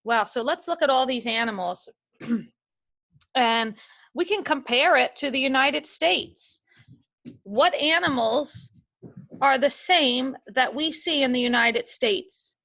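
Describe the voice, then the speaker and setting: A woman's voice: sits high, lively intonation, slow pace, a bit bright. A woman teaching a remote class of young children, reading a picture book aloud and giving patient, step-by-step instructions. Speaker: elementary school teacher.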